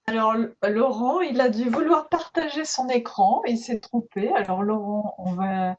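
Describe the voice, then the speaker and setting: A woman's voice: a little high, measured pace. A woman handling a video conference as a colleague, talking casually and thinking aloud while sorting out technical matters. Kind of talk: casual troubleshooting talk. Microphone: video conference microphone. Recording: dull, lo-fi video call audio.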